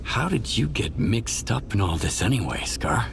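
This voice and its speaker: A man's voice: on the low side, very animated, normal speed, creaky, background noise. A man playing a wary, questioning mercenary in a video game, terse and probing. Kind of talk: scripted video game cutscene acting.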